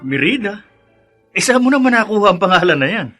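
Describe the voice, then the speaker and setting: A knowing, teasing best friend in a Filipino radio drama. His voice is bright and a bit high, with a Filipino accent and the air of an experienced dad. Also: big pitch swings, fairly quick, faint music behind, full character voice. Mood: amused teasing. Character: teasing, worldly-wise friend, experienced father.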